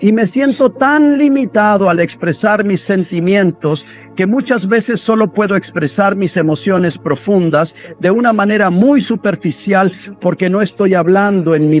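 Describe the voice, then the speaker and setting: A man delivering a sermon as a voice-over, in an earnest, steady preaching manner. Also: sits high, a little slow, soft background music.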